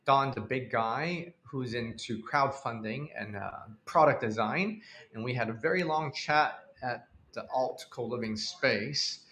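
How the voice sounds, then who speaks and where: Young man from Hong Kong, casual streamer, halting and rambling delivery, mid-pitched voice, roomy echo. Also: sharp tone. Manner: rambling, halting, with frequent fillers and restarts. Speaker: live streamer. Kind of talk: casual live-stream chat reading viewer comments.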